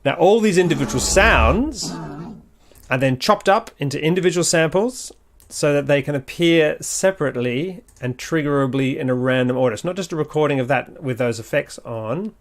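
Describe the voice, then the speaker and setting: A man's voice: a little high, lively intonation, normal speed. A man giving a casual walkthrough as a sound designer, thinking aloud as he demonstrates.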